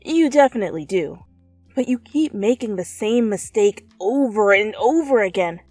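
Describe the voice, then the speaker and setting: A woman reading a story aloud as dramatic, expressive narration, addressing the listener as the main character. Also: mid-range voice, moderate pace, no echo, faint music behind. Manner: theatrical, expressive. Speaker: fan fiction reader.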